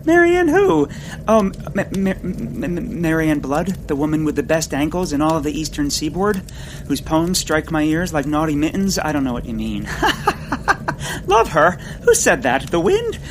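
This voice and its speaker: A clumsy, lovestruck male naturalist in a comedic audio drama. He stammers through florid phrases, and his excitable voice leaps wildly up and down. There is clear background noise and ambience around him. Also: fairly high pitch, moderate pace. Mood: flustered, denying. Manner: stammering, florid, swinging into squeals.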